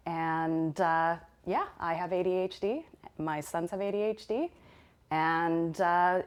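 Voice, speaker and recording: A woman who works as a nurse, telling her story in an interview, chatty and candid with quick bursts. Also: lowish voice, darkish tone. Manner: talkative, candid, quick bursts when explaining. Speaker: registered nurse. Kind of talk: interview testimony.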